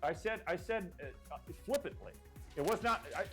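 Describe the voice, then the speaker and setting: A man hosting a live stream game show, rambling and jokey with many restarts, his voice getting hoarse. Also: high voice, animated, normal speed, fairly bright tone, faint music behind. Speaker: host.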